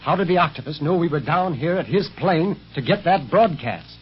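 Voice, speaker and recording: A man's voice: on the high side, animated, a little fast, a little hiss or hum, natural voice. A man playing a level-headed secret police agent in a 1930s radio serial, earnest and clipped. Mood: confident, urgent. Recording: muffled old 1930s radio recording.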